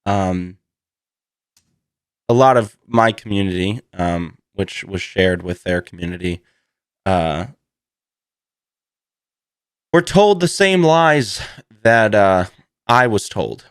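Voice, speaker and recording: Low-voiced man, slightly hoarse, rambling podcaster. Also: animated, slow, long pauses, no echo, clean studio sound. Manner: rambling, self-deprecating, laughs through his words. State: recovering from a cold, slightly hoarse. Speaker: podcast host. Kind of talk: casual solo podcast chat.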